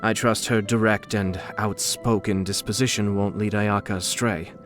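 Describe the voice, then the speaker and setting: A man voicing a refined, suave young nobleman and clan head in a video game, coolly shrewd, with polished, measured, formal diction. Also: lowish voice, steady tone, fairly bright tone, lightly acted. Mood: courteous, wry. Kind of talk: scripted video game character dialogue. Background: background music.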